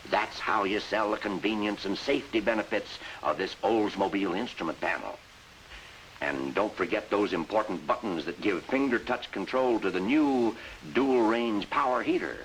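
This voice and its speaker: An actor playing a bossy, emphatic sales mentor who narrates and coaches in a 1950s industrial training film. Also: male voice, fairly low pitch, normal speed, fairly bright tone, slight background noise, rough recording. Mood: enthusiastic instruction. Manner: instructive, emphatic, salesmanlike delivery. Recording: vintage 1950s film soundtrack with music.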